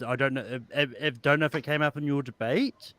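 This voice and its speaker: A man's voice: mid-range voice, steady tone, fairly quick, fairly bright tone, dry recording. A man in casual debate, correcting others with a stammering delivery.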